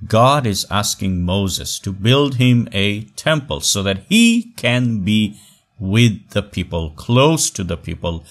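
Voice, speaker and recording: A man teaching an online class in a voice-over on slides, speaking in short measured phrases with emphatic pauses. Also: on the low side, sing-song. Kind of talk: recorded online class lecture.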